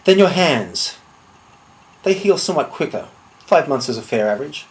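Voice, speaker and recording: A man playing a menacing swordsman in a deliberate, contemptuous, theatrical monologue. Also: a little high, lively intonation, close to an everyday voice. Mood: cold menace. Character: cold, menacing swordsman threatening a slow revenge. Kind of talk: dramatic monologue.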